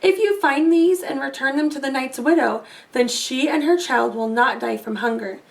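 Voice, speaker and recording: A woman's voice: high voice, moderate pace, creaky, distant mic, low-quality recording. A woman voicing a kindly, grave old woman in a storybook, speaking earnestly.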